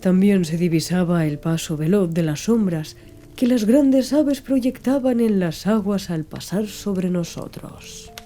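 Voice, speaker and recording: A woman reading a literary audiobook in a measured, clearly phrased storytelling manner. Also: mid-range voice, warm tone. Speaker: audiobook narrator. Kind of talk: scripted literary audiobook narration. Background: background music.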